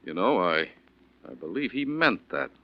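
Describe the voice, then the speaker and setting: A man's voice: fairly low pitch, very animated, moderate pace. A man playing an easygoing, wry neighbour in a 1940s radio drama. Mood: wry, concerned. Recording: muffled, very noisy vintage radio recording.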